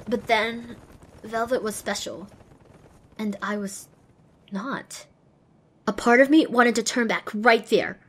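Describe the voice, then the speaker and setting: A woman's voice: medium pitch, a little hiss or hum, natural voice. A woman voicing a young, determined and wry female pony who narrates her own adventure in an audio drama. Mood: wounded, determined. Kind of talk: scripted first-person narration in audio drama.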